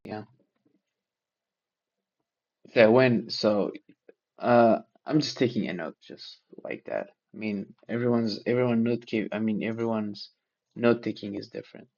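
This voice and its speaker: A man's voice: lowish voice, normal speed, creaky, a little mellow, highs cut off. A man for whom English is not a first language, taking notes aloud in a casual study vlog, hesitant and restarting as he searches for words. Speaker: learner sharing notes.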